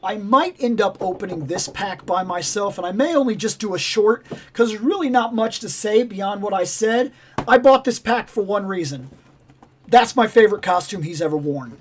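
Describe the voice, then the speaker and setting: A man hosting a toy livestream in casual, rambling chat, with a voice going raspy and hoarse. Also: high voice, moderate pace, slight background noise. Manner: rambling, animated, self-interrupting. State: raspy, losing his voice. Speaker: toy channel livestream host.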